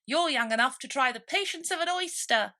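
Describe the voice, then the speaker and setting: A woman's voice: high voice, lively intonation, brisk, pushed and bright, pitched above the performer's own voice. A woman voicing an insolent young female crab in a storybook, with a snappy, cheeky manner. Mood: snappish.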